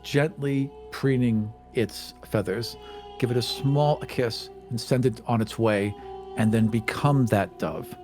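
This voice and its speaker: A man's voice: mid-range voice, slow pace, over music. A man playing a tabletop game, describing things playfully and at length. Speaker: player. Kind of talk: casual tabletop play chat.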